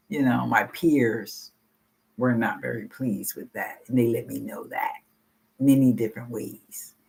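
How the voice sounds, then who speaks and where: A woman in her sixties with a deep, low voice, giving a rambling, frank personal share at a support meeting and swearing casually. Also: creaky, husky, poor audio. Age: 60s. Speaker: meeting participant sharing.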